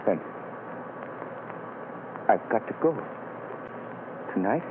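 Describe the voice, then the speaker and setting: Condemned prisoner, lowish voice, swooping pitch, slow halting bitter speech, tinny hissy old radio. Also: male voice, sing-song, full character voice. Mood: despairing. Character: condemned young man awaiting execution, despairing. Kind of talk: 1930s radio acting.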